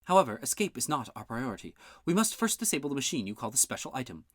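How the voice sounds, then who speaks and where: A narrator voicing a regal queen who speaks formally, in a measured and polite tone. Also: mid-range voice, sing-song, no echo, clean studio sound. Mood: commanding.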